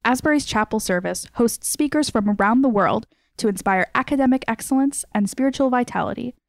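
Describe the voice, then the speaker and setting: A woman with a fairly high voice reads a scripted podcast intro. The read is smooth and even, and the sound is dry and close, with no room in it. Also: fairly quick, dry recording. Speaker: podcast announcer.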